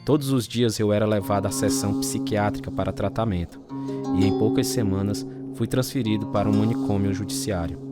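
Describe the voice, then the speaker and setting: A man's voice: on the low side, fairly even intonation, fairly quick, close to an everyday voice. An actor playing a troubled, bitter alcoholic man in a dramatized first-person radio story, speaking with a Brazilian accent and grave delivery. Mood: grave, reflective. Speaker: narrator and protagonist of a radio testimony drama. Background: acoustic guitar music.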